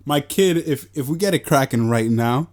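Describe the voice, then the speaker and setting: Cara de vinte e poucos, sotaque de Nova York, papo solto de podcast, brincalhão e boca-suja. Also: male voice, mid-range voice, animated, moderate pace. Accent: New York. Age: 20s. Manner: joking, loose, swears freely. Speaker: podcast co-host. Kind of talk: casual chat.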